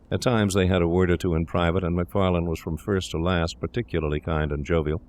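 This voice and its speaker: A man narrating a scripted gothic story in a measured, solemn, literary manner. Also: low-pitched, darkish tone.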